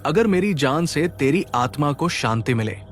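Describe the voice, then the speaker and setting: A man voicing a frightened but earnest young villager, dramatic and pleading, in an animated horror story. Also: on the high side, normal speed, a little hiss or hum, close to an everyday voice. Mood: desperate resolve.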